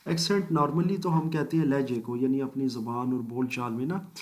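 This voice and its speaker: A man teaching a screen-recorded software tutorial, walking through each step methodically with polite asides and English phrases mixed in. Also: mid-range voice, normal speed. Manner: mixes English phrases into speech. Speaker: computer course instructor.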